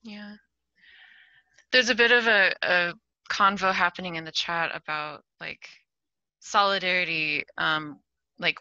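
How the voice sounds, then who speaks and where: A woman moderating a workshop on a video call, relaxed and informal, often trailing off quietly. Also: lowish voice, no echo. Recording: dull, dry video call audio.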